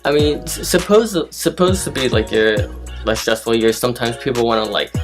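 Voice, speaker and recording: A man's voice: medium pitch, animated, a little fast. A young man co-hosting a casual chat with lots of energy and fillers, with music playing behind him. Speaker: co-host.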